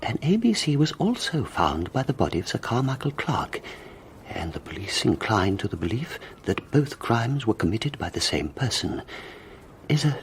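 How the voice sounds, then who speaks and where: An actor playing a nervous, distracted, muddled man in a radio play, with halting, breathy speech. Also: on the low side, a little hiss or hum. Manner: halting, stammering, breathy muttering. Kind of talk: radio drama acting.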